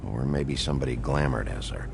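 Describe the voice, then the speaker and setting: A gruff game detective with an extremely low, gravelly voice. He is guarded and weary, speaking in short, dry lines over clear background noise. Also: fairly quick. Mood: probing. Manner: terse, dry, low-key questioning. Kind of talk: video game voice acting.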